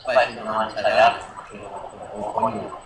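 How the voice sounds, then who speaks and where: A man's voice: on the low side, level tone, a little slow, echoey room, background noise. A man joining by video call with joking asides about the game. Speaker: friend joining the stream. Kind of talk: casual commentary.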